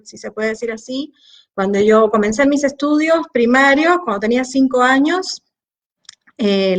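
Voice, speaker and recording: A woman from Cuba with a Cuban Spanish accent, a conductor interviewed over a video call, talking thoughtfully with frequent pauses. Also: on the high side. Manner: warm, thoughtful, pauses often with eh. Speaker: orchestra conductor, guest.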